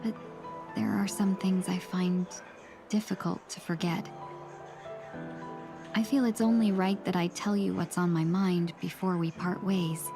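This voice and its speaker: Poised fox-eared woman, mysterious, soft courteous delivery, slightly low voice, game dialogue. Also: steady tone, a little slow, long pauses, music in the background.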